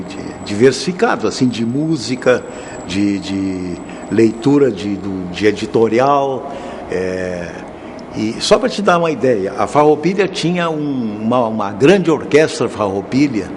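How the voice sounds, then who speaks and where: Older man, ex-presenter reminiscing; halting, repeats words; mid pitch, noisy recording. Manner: hesitant, repeats words, tags with "né?". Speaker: former radio show presenter. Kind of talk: reminiscing interview. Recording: noisy background.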